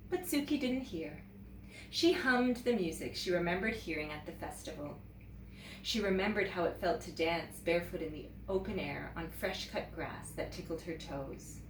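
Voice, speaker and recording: A woman teacher reading a children's story aloud in an expressive, animated way, pausing to check in with listeners. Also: on the low side. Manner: expressive, pauses to ask listeners questions. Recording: roomy echo, distant mic, faint noise.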